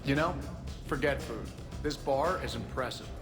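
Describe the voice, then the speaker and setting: A man plays a hospitable, easygoing fellow in a video game. The voice is medium in pitch with a casual, friendly delivery, and music is clearly heard behind. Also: normal speed, close to an everyday voice. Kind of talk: video game character acting.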